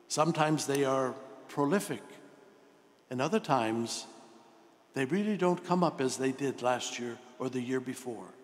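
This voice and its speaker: A man in his sixties, a priest giving a sermon in a deliberate, emphatic way with rhetorical questions and pauses. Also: mid-range voice. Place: large church, indoors at the altar. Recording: long reverberant church echo.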